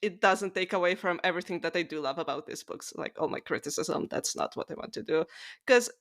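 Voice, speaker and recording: A woman's voice: lowish voice, brisk, fairly bright tone. A woman co-hosting a book podcast in casual chat, earnest and opinionated with informal phrasing. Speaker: podcast co-host.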